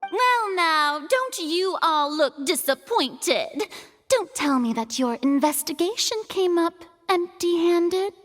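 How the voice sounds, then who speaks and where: A woman's voice: very high voice, exaggerated voice. A woman voicing a haughty, grandiose young lady prosecutor in a video game, pompous and showy. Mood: mock disappointment.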